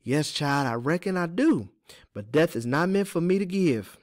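Guy doing older enslaved woman in a storybook, higher pitched, gentle wise folksy herbalist. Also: lively intonation, normal speed, close to an everyday voice. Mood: firm, gentle.

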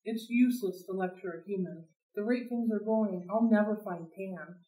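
A lady reading a gentle sad boy satyr, kinda slow and mournful, echoey room, mic far off. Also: mid-range voice, natural voice. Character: earnest young male satyr, gentle and sad. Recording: roomy sound, mic at a distance.

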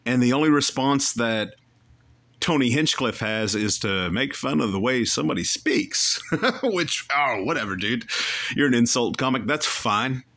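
Male podcast host, opinionated rambler, very lively pitch. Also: a little slow. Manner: rambling, opinionated, self-correcting. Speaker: podcast host reacting to a clip. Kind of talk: casual commentary.

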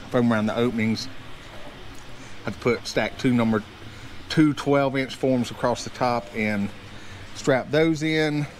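A man in his thirties casually narrating a walkthrough as a builder, in an easygoing, folksy and somewhat hesitant way. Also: mid-range voice. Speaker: builder hosting a build vlog. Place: outdoor construction site. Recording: outdoor site noise, faint vehicle sounds.

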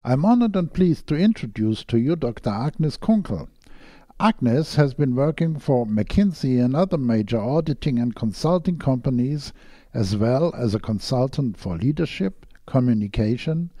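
A man's voice: mid-range voice, dark tone, no room sound, studio quality. A man giving a formal, courteous scripted introduction as a podcast interviewer.

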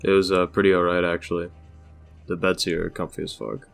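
A man plays an unflappable young cool guy in a cartoon dub. His teasing drawl is monotone, low and full of gravelly fry. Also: a little fast, soft background music. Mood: laid-back. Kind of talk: animated comic dub voice acting.

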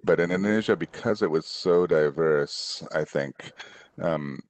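A middle-aged man explaining things in a casual, halting, thoughtful way as a guest author answering questions on a video call. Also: very deep voice, no echo. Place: small room at home, indoors, on a video call. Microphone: headset on a video call.

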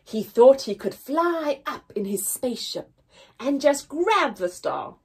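A young woman reading a picture story aloud for small children, expressively, with deliberate pauses and emphasis and a slightly husky voice. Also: high-pitched, very animated. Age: young adult. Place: indoors, small room with painted backdrop.

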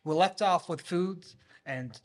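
A man's voice: high voice, takes it slowly. A man in his thirties hosts an interview at a studio microphone. He affirms his guest and often completes her sentences for her. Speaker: podcast host. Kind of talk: casual interview talk. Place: podcast studio. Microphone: studio mic on stand.

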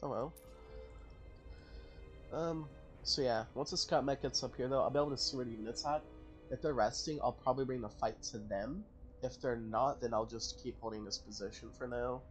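A man's voice: mid-range voice, normal speed. Rambling man over faint music. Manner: rambling, thinks aloud, self-correcting. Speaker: gaming channel host. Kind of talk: casual let's-play commentary.

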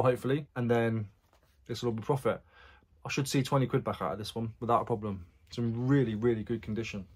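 A man in his forties vlogging casually about his buys, chatty and frank about prices, in a small block-walled garage room. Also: medium pitch. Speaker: reseller vlogger. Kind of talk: casual vlog chat.

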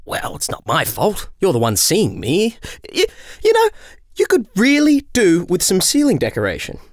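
A man's voice: sits high, wildly swooping pitch, normal speed, no echo, studio quality. An actor playing a jovial, flippant young male angel in an audio drama, theatrical and chatty. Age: young adult.

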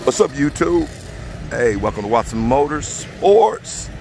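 A man's voice: mid-range voice, sing-song, a little slow, vocal fry, over music. A man hosting a casual vlog from outdoors, talking in a laid-back way with lots of fillers. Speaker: vlog host. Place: outdoors at a racetrack campground.

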